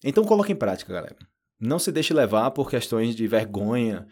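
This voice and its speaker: A chatty Brazilian man hosts a relaxed podcast lesson and speaks with a Brazilian Portuguese accent. His voice sits at an ordinary middle pitch. He peppers his talk with fillers and jumps into a higher voice whenever he acts out someone he is quoting. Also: a little fast. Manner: lots of fillers like "tipo" and "né". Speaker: Portuguese teacher, podcast host.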